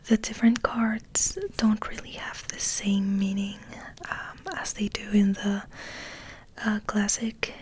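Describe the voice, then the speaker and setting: A woman hosting ASMR, rambling in a soft, breathy, hesitant whisper. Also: medium pitch, flat delivery, takes it slowly. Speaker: ASMR video host.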